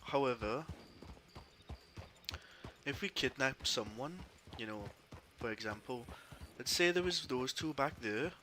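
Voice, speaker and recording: A man's voice: medium pitch, animated, unhurried, noisy background, lightly acted. A man with a Welsh accent playing an amiable small-time Wild West bandit in an online roleplay game, chatty, polite and a little hesitant. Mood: scheming, casual. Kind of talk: improvised in-character game chat.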